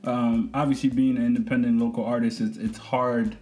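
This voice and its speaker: A man hosting a casual interview, relaxed and conversational, with frequent hesitations. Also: mid-range voice, brisk. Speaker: interview host.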